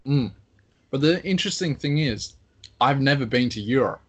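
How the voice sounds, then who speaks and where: A man's voice: medium pitch, expressive, normal speed, breathy edge. Easygoing Australian man telling stories. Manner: easygoing anecdotal storytelling. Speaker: podcast co-host. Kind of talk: casual chat.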